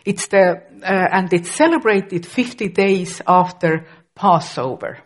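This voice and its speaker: A woman's voice: fairly low pitch, takes it slowly. A middle-aged woman from Estonia, a native Estonian speaker with an Estonian accent, preaching a sermon carefully and with occasional restarts.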